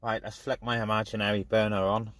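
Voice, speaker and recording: A man's voice: fairly low pitch, little pitch movement, a little fast, a bit bright. A British man casually chatting as a radio hobbyist, making CB calls and talking to his viewers. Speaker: radio hobbyist vlogger and CB operator. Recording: noisy, clicky radio hiss.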